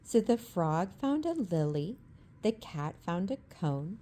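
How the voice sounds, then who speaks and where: A woman reads a rhyming bedtime story in a calm, lulling rhythm. Her voice is low-pitched and mellow, with an unhurried pace. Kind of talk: bedtime story read-aloud, ASMR.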